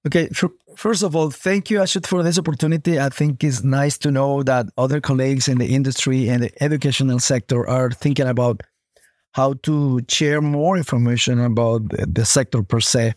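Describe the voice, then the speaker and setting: Halting middle-aged man from Honduras. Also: medium pitch, fairly even intonation, a little mellow, no echo, studio quality. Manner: halting, repeats words and restarts. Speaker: international student recruitment manager. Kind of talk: interview guest, conversational.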